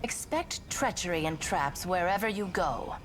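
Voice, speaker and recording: A woman's voice: lowish voice, expressive, a little slow, slight background noise. A woman voicing a sly, mocking female demon in a video game, theatrical, self-assured and mockingly polite. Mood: scheming, assured.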